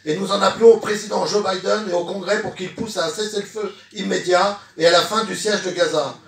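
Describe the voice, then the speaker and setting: A man's voice: high-pitched, fairly even intonation, brisk, creaky. A man speaking as a trade unionist at a public meeting, recorded from a distance in an echoey room. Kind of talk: public meeting speech, partly reading a statement aloud.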